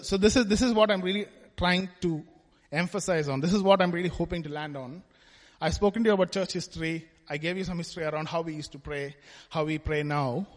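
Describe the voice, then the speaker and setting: A man from Kerala, India, giving a conversational testimony talk at church and often adding "right?" to his points. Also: on the high side. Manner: conversational, tags lines with "right?". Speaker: church speaker. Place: church hall. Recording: long hall reverb.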